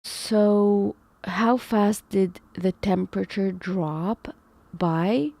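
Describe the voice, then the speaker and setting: Woman streaming math, kinda slow and unsure, talks to chat, low gravelly voice a bit worn out. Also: warm tone, dry recording. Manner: talks to chat, muses and second-guesses herself. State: feels her voice is going. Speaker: live streamer. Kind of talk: thinking aloud while solving math problems.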